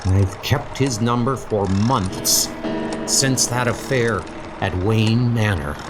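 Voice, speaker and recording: A man's voice: on the low side, takes it slowly, over loud music. A man playing a panicked, guilt-ridden crime boss in a comic book dub, frantic and pleading. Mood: shaken, determined.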